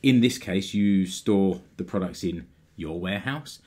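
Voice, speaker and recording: A man with a fairly low voice, a little hoarse and croaky from a sore throat, hosts his own business podcast. He walks listeners through practical points in a plain, explanatory manner. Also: measured pace, darkish tone. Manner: explanatory, plain-spoken, occasional restarts. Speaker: podcast host.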